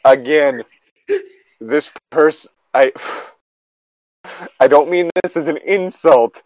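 A man's voice: on the high side, takes it slowly, darkish tone, no room sound, lo-fi sound. A man co-hosting a casual, joking commentary, exasperated and swearing freely, sometimes reading aloud. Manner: exasperated, profane. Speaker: co-host reading and mocking internet horror fiction.

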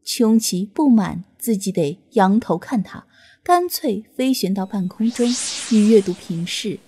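A woman's voice: mid-range voice, expressive, measured pace, warm tone, slight background noise. A woman narrating a novel as an audiobook reader, in a scripted storytelling style. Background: faint music.

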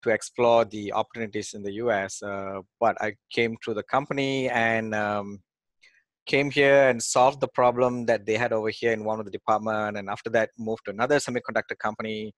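A man's voice: fairly low pitch, steady tone, fairly quick, no room sound. A man in his forties from Malaysia, talking casually as an interview guest over a video call from a home office, with many fillers and restarts. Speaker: guest, real estate investor. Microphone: headset on video call.

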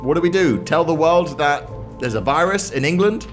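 A young man hosts a gaming reaction in a casual, teasing way. His pitch moves around a lot as he riffs and swears. Faint soundtrack music plays behind him. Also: expressive, moderate pace. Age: young adult. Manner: playful, mocking, swearing banter. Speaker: gaming video host. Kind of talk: casual joking reaction commentary.

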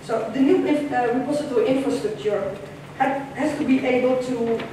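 A woman with a Dutch accent giving a conference presentation in a large lecture hall, measured and hesitant, with frequent ums and restarts. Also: high-pitched, dark tone, slight background noise. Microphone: room camera far from the stage. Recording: echoey lecture hall from far off.

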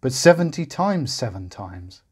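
Man voicing a calm teacher, husky, lively pitch. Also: measured pace. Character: calm wise male teacher.

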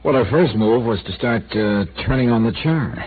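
A man's voice: medium pitch, animated, normal speed, slightly rough. An actor playing a dry, skeptical male insurance investigator who also narrates, in a 1950s radio drama. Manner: dry, skeptical, probing questions. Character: wry insurance investigator, male, middle-aged. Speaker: narrator and lead. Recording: tinny lo-fi old radio broadcast with hiss.

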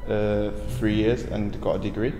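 Leeds dancer guy, even flat voice, roomy echo. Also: fairly low pitch, moderate pace. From England. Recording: echoey hall with music.

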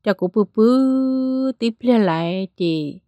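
A woman narrating a spooky tale in a lively storytelling manner, changing pace and loudness as she goes. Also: on the high side, takes it slowly, dry recording. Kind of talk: spoken ghost story narration.

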